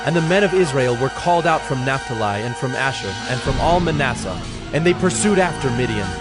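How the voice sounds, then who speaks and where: A man narrating dramatized scripture in a measured, formal storytelling manner. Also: medium pitch, expressive, loud music behind the voice.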